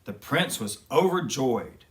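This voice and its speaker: A man reading a fairy tale aloud to children in a gentle, friendly storybook manner, then chatting warmly to his young listeners. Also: medium pitch, lively intonation, takes it slowly. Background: guitar music.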